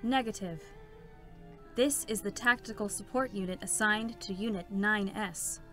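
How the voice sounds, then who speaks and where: A woman's voice: mid-range voice, unhurried, a bit bright, close to an everyday voice. Woman as a cold female robot assistant, clinical, formal, clipped announcements, game drama, music underneath. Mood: clinical, detached. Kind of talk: dramatic stage reading of a game story. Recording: music behind the voice.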